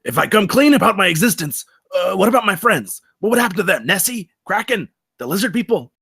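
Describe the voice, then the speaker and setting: A whiny, jokey Bigfoot, acted out by a man for a comedy table read. The delivery is theatrical and melodramatic, pushed up high with wide swings, and the recording is dry. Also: sing-song, brisk, vocal fry, a bit bright, slightly rough, no echo, full character voice. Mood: agitated, indignant. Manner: theatrical, wailing when upset.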